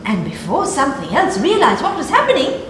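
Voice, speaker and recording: A woman's voice: high voice, huge pitch swings, a little fast. A middle-aged woman, reading expressively to children as a storytelling host. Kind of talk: expressive read-aloud for children. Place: living room sofa, indoors. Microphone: lapel mic. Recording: very echoey room, background noise.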